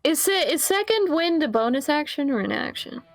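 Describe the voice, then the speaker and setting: A woman's voice: sits high, lively intonation, moderate pace. A woman chatting casually as a player in a tabletop roleplaying game. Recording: music playing over the voice.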